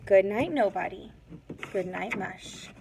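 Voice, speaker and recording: A woman's voice: medium pitch, takes it slowly, creaky, background noise. A woman teacher doing a read-aloud lesson for young children, speaking in simple, instructive phrases. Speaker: teacher reading a picture book aloud to young pupils.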